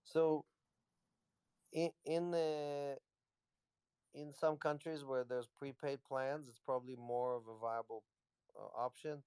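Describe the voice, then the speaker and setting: A man's voice: medium pitch, fairly even intonation, slow, pauses often, a little mellow, smooth, no room sound. A man, a company executive, casually answering questions on a live audio chat in a loose, rambling way. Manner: rambling, loose, lots of "you know".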